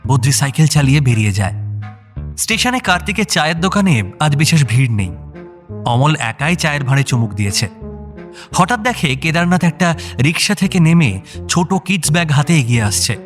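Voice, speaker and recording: A man's voice: a little high, very animated, moderate pace, soft background music. A man narrating an audio story in a scripted, dramatic style.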